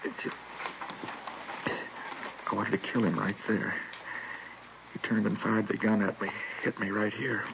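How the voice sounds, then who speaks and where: An American man playing a shaken, wounded schoolteacher in his thirties, speaking haltingly and earnestly in an old radio drama. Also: lowish voice, steady tone, gravelly. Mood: distressed. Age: 30s. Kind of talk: 1950s radio drama acting. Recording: tinny, noisy vintage radio recording.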